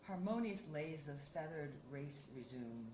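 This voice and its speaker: A woman editor reading poetry aloud at a symposium, measured and deliberate. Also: deep voice, expressive, warm tone. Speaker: anthology editor and reader. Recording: roomy hall with faint hiss.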